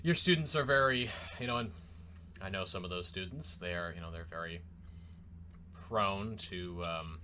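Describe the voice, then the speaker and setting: A man co-hosting a podcast, listening with steady "mm-hmm"s and asking hesitant questions. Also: deep voice, normal speed, a little hiss or hum. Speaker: podcast co-host. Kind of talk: casual philosophical discussion.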